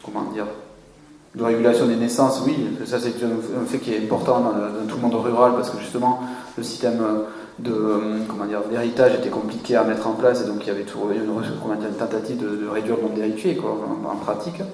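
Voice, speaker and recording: A man talks through an audience question, halting and repeating himself as he searches for the right word. His voice rings out in a large, reverberant hall with a faint hum of the room behind him. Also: fairly low pitch, little pitch movement, a little mellow. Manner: hesitant, searching for words. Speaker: conference speaker. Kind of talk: unscripted conference answer. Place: conference hall.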